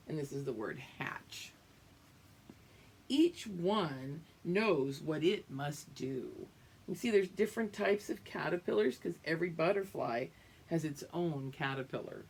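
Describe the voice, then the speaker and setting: A woman's voice: sits low, vocal fry, a little hiss or hum. A woman teacher reading a picture book aloud to young pupils, drawing out the story and explaining it as she goes. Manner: expressive, drawn-out reading with teacherly explanations and check-ins.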